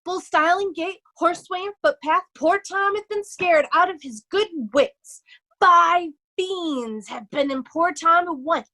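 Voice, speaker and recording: A woman's voice: very high-pitched, measured pace, pushed and bright, full character voice. A woman playing a sorrowful young nobleman who feigns a mad beggar, shifting between anguish and singsong madness in a Shakespeare reading. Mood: feigned madness. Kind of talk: remote readers' theatre Shakespeare performance.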